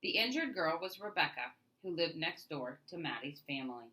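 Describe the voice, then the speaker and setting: A woman reading a children's story aloud as an expressive narrator. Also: low-pitched, normal speed. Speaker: storybook reader.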